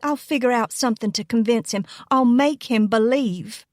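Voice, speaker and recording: A spiteful young Southern belle, as a female narrator plays her, scornful and emphatic. Her voice rides high and sneers through each line, like a schemer used to getting her way in an audiobook drama. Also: moderate pace, darkish tone, no echo, clean studio sound, lightly acted. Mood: scornful, insistent. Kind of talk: audiobook character acting.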